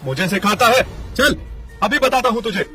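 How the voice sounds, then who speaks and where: A man's voice: high-pitched, lively intonation, moderate pace, bright, forceful tone. A man playing a greedy, scheming dairy merchant in a children's cartoon story, scornful and blustering. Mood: angry. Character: greedy, haughty, scheming village dairy merchant, short-tempered. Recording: music under the voice.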